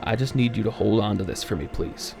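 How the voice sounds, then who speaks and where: A man voicing a shaken, secretive plane passenger in a horror story, mumbling and pleading haltingly. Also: fairly low pitch, gravelly. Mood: desperate, pleading.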